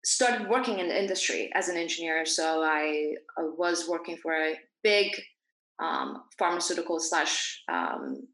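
Bright-voiced woman guest in an echoey room. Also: low voice, very animated. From born in Iran, grew up in Dubai. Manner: halting, frequent pauses and self-corrections. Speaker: podcast guest, naturopathic doctor. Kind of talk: reflective interview talk.

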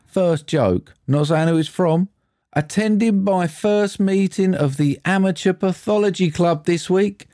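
A man's voice: sits high, a little slow, warm tone, dry recording. A young man co-hosting a podcast, teasing his partner in dry banter. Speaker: podcast co-host. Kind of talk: casual chat.

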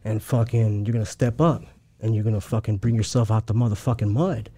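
A man's voice: lowish voice, steady tone, a little fast, dark tone. A man from Chicago, a guest talking casually in a streetwise way, swearing heavily and using lots of slang. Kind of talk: casual interview talk.

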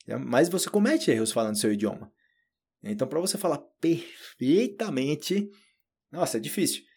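A Brazilian man with a Brazilian Portuguese accent, giving a relaxed, chatty podcast lesson and addressing learners directly. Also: on the high side, moderate pace, gravelly, husky. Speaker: Portuguese teacher. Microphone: solo audio recording.

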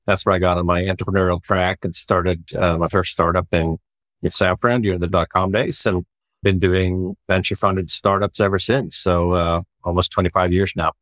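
A man, a startup founder answering interview questions in a relaxed, reflective way with many "you know" fillers. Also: sits low, moderate pace, no echo. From Sweden.

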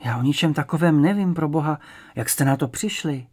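A man's voice: fairly high pitch, lively intonation, pitched above the performer's own voice. A man voicing a frightened, bewildered ordinary woman under interrogation in a story, pleading and unsure.

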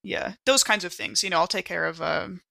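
A woman chatting casually as a player in a tabletop role-playing game, animated and a bit halting, repeating words as she thinks. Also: on the low side, creaky, no room sound, clean studio sound. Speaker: player in a tabletop RPG podcast. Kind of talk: casual improvised game chat.

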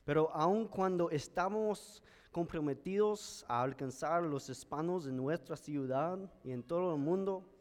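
A male pastor whose first language is English, preaching a sermon in a careful, measured way with an American accent. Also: on the high side. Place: church sanctuary. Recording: church hall with long reverb.

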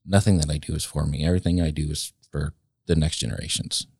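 A man in his late thirties, a guest in a casual interview, speaking reflectively and haltingly with many pauses and restarts. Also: very deep voice, fairly even intonation, darkish tone, breathy edge, no room sound, clean studio sound. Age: late 30s.

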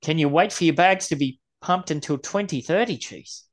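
A man's voice: a little high, moderate pace. A man in his forties co-hosting a podcast, casually reading news articles aloud and riffing on them. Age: 40s. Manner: reads quotes aloud then riffs on them. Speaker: podcast co-host.

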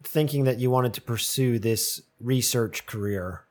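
A man hosting an interview, asking casual questions with halting restarts. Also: medium pitch, a little mellow. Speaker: interviewer, host.

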